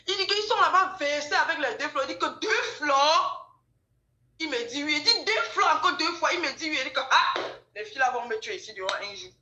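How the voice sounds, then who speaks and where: A high-pitched woman chats casually in an echoey room. She tells gossip in an animated way, circling back over phrases to drive them home. Her voice is bright and forceful. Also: fairly quick. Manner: animated storytelling, repeats phrases for emphasis.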